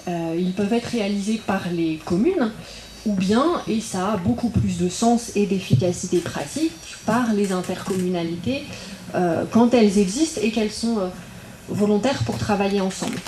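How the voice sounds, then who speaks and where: A woman speaking as an invited regional councillor at a public political meeting, in halting phrases with many filled pauses. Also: mid-range voice, dark tone. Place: indoor public meeting room. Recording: roomy echo, audible background noise.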